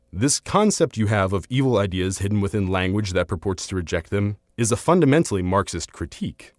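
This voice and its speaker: A man narrating a scripted argumentative essay in a formal, measured, academic manner. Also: deep voice, dry recording, clean studio sound. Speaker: video essayist.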